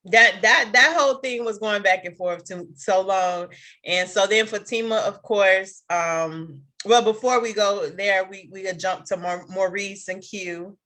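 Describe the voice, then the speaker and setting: A woman in casual chat recapping a TV episode, animated and acting out the characters' lines as she goes. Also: mid-range voice, a little slow. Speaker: co-host of a TV show review.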